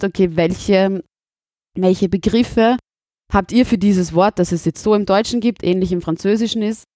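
Austrian woman in her thirties, teacher, measured and candid, dry close mic. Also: mid-range voice, a little mellow, no echo. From Burgenland, Austria. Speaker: teacher, interviewee. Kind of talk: interview answers.

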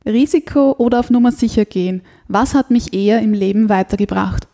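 A woman's voice: mid-range voice, brisk, dark tone. A woman putting questions to a guest as a podcast interviewer in a conversational way.

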